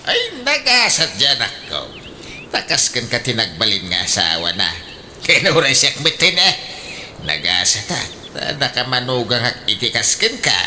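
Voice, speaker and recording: A man's voice: mid-range voice, huge pitch swings, measured pace, sharp tone, slight background noise, full character voice. An actor with an Ilocano accent playing a teasing, blunt older father in a comedic radio drama, full of exclamations. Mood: jovial, teasing.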